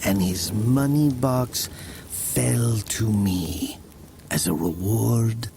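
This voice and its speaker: An actor playing a brooding murderer who narrates his own dark fable in a measured, dramatic manner. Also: on the low side, vocal fry, background noise, exaggerated voice. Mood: somber, haunted. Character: brooding male village murderer recounting his dark tale.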